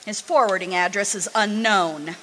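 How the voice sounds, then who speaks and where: A woman's voice: medium pitch, normal speed, fairly bright tone, lightly acted. A woman playing a patient, wry wife who teases in homely terms in an old-style radio comedy. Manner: dry teasing, homely endearments. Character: patient, wry, teasing wife, middle-aged. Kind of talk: 1940s radio comedy acting, live re-creation. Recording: slightly noisy live stage recording.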